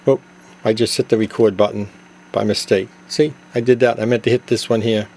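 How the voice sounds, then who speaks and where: A man doing a casual hands-on product demo, explaining it step by step in a halting, improvised way. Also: fairly low pitch, level tone, a little mellow. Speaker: product demonstrator and seller. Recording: clear background noise, radio chatter. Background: CB radio chatter and noise.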